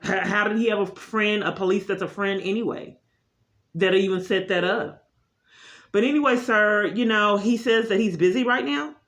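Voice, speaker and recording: A woman's voice: medium pitch, moderate pace, a bit bright. A woman caller speaking unscripted in an insistent, long-winded complaint, often repeating herself.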